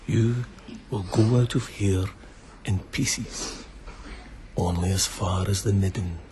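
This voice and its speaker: An actor playing a brutal, lecherous bandit leader in a radio drama, taunting with theatrical mock courtesy. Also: male voice, low-pitched, slow pace, background noise. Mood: mocking menace.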